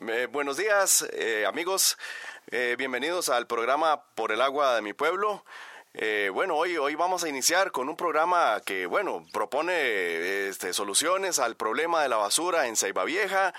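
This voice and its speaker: A man acting a nervous, halting amateur community radio host in a radio soap opera. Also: mid-range voice, sing-song, bright, forceful tone, no room sound, studio quality. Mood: nervous, polite. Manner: halting, hesitant.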